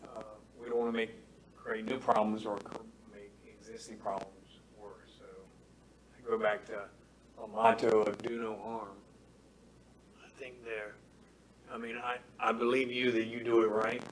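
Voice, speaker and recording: Committee member, adult man, low monotone, voice going hoarse, earnest, faint room hum. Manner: earnest, measured, repeats key phrases. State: losing his voice. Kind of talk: public meeting discussion. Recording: faint room noise, meeting hall.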